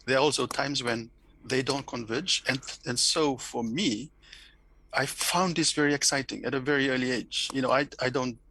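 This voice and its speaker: Gravelly Fijian man, reflective interview guest. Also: medium pitch, steady tone, normal speed, sharp tone, poor audio. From Fiji. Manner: thoughtful, many restarts and repeated words.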